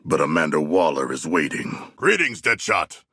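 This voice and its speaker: An actor playing a huge, earnest, bloodthirsty humanoid shark demigod in a video game, with a deep gravelly voice and grandiose, formal diction. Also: male voice, sing-song, normal speed, pushed and bright, no room sound, studio quality, heavily acted. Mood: polite, earnest. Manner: grandiose, formal, literal-minded, stately diction. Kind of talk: video game character voice lines.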